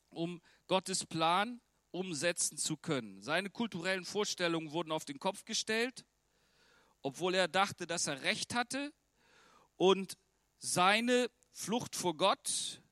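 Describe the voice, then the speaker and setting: A man's voice: sits high, fairly bright tone, no room sound, studio quality. A German man preaching a sermon in an earnest, conversational way, often turning to ask the listeners direct questions.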